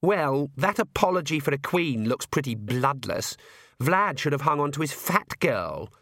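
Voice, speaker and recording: A man's voice: on the high side, sing-song, a little slow, a bit bright, no echo, clean studio sound, voice pushed up, full character voice. A man voicing a bratty, cruel teenage vampire daughter in a storybook, sulky and scornful.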